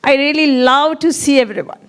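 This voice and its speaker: Halting woman. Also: high-pitched. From Sri Lanka. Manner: halting, non-native phrasing. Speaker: event attendee. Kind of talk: speaking up at a community event. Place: indoor event hall.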